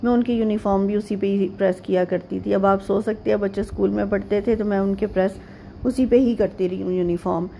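A middle-aged woman from Pakistan talks through her day in a homely, rambling voice-over full of pauses and fillers. Her voice is warm, mellow and slightly gravelly. A faint hiss of noise sits behind her. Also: medium pitch, fairly even intonation. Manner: homely, chatty tone. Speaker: vlogger. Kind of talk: casual chatty voice-over narration.

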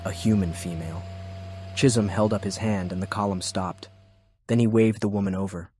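A man's voice: low voice, steady tone, moderate pace, mellow, a little hiss or hum. A man reading scripted fiction as an audiobook narrator.

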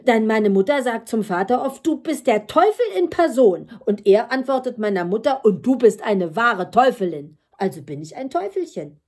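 Woman putting on a cheeky, high-voiced shepherd boy. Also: normal speed, few pauses. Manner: lively, emphatic, incredulous. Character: cheeky, clever shepherd boy called Little Devil.

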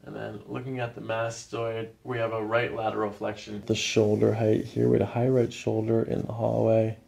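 A man's voice: on the low side, completely flat delivery, normal speed, gravelly, darkish tone. A man in his thirties, a chiropractor, talking a patient through an exam in a reassuring, matter-of-fact way in a small office. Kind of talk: clinical exam talk, narrating findings to a patient.

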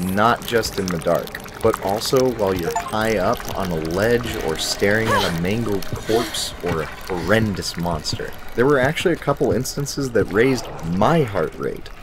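Low-voiced man reviewing games over music. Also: expressive, measured pace, few pauses. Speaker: video game reviewer. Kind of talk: scripted review commentary. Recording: music behind the voice.

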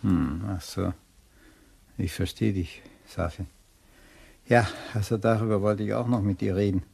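Gravelly, husky gentleman. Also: on the low side. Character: solicitous gentleman friend, tactful and businesslike. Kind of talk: German radio crime drama acting.

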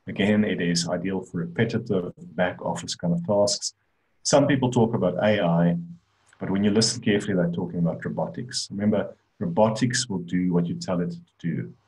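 A man's voice: low-pitched, brisk, darkish tone, rough recording. A middle-aged man with a South African accent, giving an online talk with slides and speaking conversationally, with many restarts and fillers. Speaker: conference speaker on AI. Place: indoor room, on a webcam.

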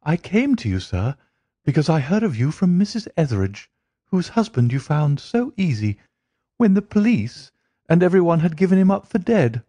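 A man's voice: big pitch swings, warm tone, no echo. A man voicing an earnest, flustered young woman pouring out her troubles in a storybook reading. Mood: pleading. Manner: breathless, rushing, rising high pitch.

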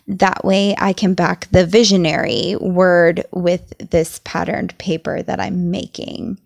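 A young woman talks through her craft steps in a chatty, step-by-step voice-over. Her voice is a little raspy and gravelly today. She sits at a middling pitch. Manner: chatty, enthusiastic, explains each step. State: losing her voice, slightly raspy. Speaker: journaling vlogger.

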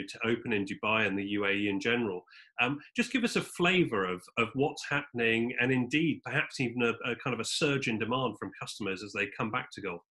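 A man in his fifties interviewing a guest on a video call from home, asking polite questions with a halting, searching phrasing. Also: medium pitch, bright, forceful tone. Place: living room at home.

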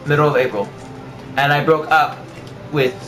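A man's voice: on the high side, fairly even intonation, normal speed, music in the background, rough recording. A young man chatting casually in a bedroom into a camera a short way off, joking and swearing with a friend. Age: young adult. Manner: profane, joking. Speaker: vlogger. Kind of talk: casual banter. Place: small bedroom. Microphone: webcam or console camera a short way off.

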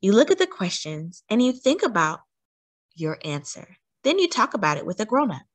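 A woman reading aloud to children as a storyteller, with an animated, expressive delivery that acts out the story. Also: mid-range voice, normal speed. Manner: animated, acting out sighs and cheers.

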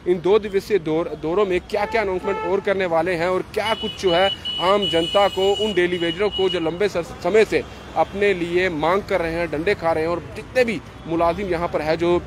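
A man reports live from the roadside into a handheld mic. His voice sits a little high, and he talks in a wordy, repetitive, unscripted flow. Faint street and vehicle noise runs behind him. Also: fairly even intonation, moderate pace. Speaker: field news reporter. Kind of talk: live on-the-spot reporting, unscripted piece to camera. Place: outdoors on a roadside by a gate and flyover. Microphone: handheld reporter's microphone. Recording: street traffic noise outdoors. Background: street ambience and vehicles.

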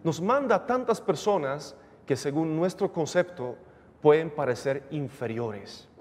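Earnest preaching priest in a chapel. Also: male voice, fairly high pitch, animated. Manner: measured, earnest preaching with rising emphasis. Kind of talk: sermon at Mass. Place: chapel, indoors, at a lectern. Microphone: gooseneck lectern microphone. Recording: reverberant chapel sound.

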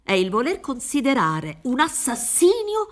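A middle-aged woman playing a pompous defence lawyer in a radio drama, theatrical and rhetorical, pausing for emphasis. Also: high-pitched, wildly swooping pitch, heavily acted. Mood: mocking, persuasive. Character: pompous, wheedling defence lawyer pleading a client's innocence. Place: dark theatre stage, reading from script. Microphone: studio microphone with headphones.

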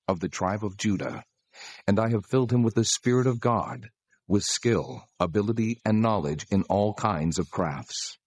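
God as a solemn, commanding male deity in dramatized scripture. The voice sits low and has a rough, husky grain. Delivery is deliberate and authoritative, recorded close and dry. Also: darkish tone, no echo, exaggerated voice.

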